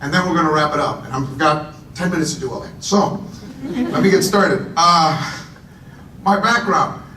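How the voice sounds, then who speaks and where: A man's voice: moderate pace, sharp tone. A man who grew up in Puerto Rico gives a conversational conference talk to a live audience, often repeating words and making chatty asides. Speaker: speaker. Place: conference room. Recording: roomy echo, voice from far off, faint rumble.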